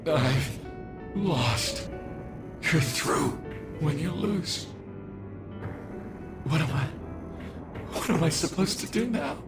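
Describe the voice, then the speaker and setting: A man's voice: on the high side, animated, slow, long pauses. A ranting tyrant king. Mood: defeated. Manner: ranting and shouting, then begging and defeated. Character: cruel, arrogant male gym teacher's shadow king, later broken. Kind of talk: video game cutscene voice acting. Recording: game audio with loud music.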